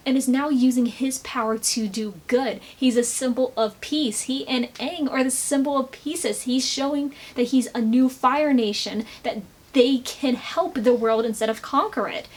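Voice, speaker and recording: A woman's voice: high voice, husky. A woman giving casual, opinionated fan commentary, with an emphatic, exasperated ranting manner. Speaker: video essayist, fan commentator.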